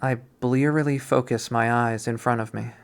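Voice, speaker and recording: A man's voice: medium pitch, fairly even intonation, moderate pace, vocal fry, smooth. A young man reading a visual novel aloud in first person, with a dry, wry delivery.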